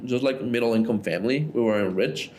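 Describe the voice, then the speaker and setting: A man's voice: medium pitch, quick pace, poor audio. A man in his thirties from Ecuador, telling his story as a podcast guest in a loose, casual way full of "like" and "right?". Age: 30s. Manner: relaxed, loose, lots of "like" and "right?".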